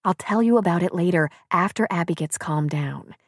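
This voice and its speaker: A woman voicing a caring, protective female friend who can be firm and brisk, in audiobook dialogue. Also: fairly low pitch, slightly rough, dry recording, clean studio sound. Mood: firm, dismissive. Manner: comforting, firm.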